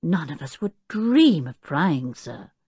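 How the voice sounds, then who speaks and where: A woman's voice: low voice, big pitch swings, slow pace, mellow, breathy edge, dry recording, clean studio sound, full character voice. A woman voicing a polite, earnest, well-mannered teenage boy in a storybook.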